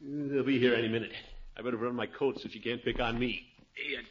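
An actor playing a pompous, fussy but good-natured middle-aged uncle in a 1950s radio comedy, blustery and chuckling. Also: male voice, mid-range voice, fairly even intonation, fairly quick, slight background noise, close to an everyday voice. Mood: fussy, genial. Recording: muffled old radio broadcast.

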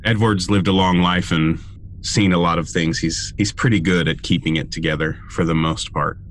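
A man's voice: low voice, fairly even intonation, moderate pace, a little hiss or hum. A man playing a tabletop roleplaying game, narrating what his character does.

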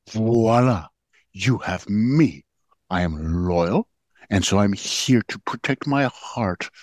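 A man's voice: fairly low pitch, dry recording. A man playing a proud, loyal royal corgi lapdog in an audio play, speaking with a self-important manner.

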